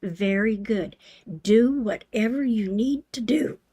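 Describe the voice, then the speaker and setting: An older woman shows sewing tips to a live audience, her voice a little hoarse. She talks very slowly and unhurriedly, filling pauses with ums as she works. The sound is dry and close, with no room echo. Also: on the high side, mellow. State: slightly hoarse. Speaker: sewing channel host. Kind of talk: live sewing demonstration with viewer chat.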